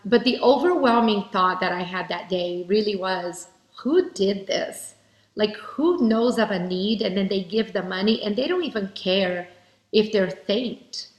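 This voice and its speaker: A woman from Brazil giving an earnest, heartfelt motivational keynote speech. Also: mid-range voice. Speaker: keynote speaker. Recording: roomy echo.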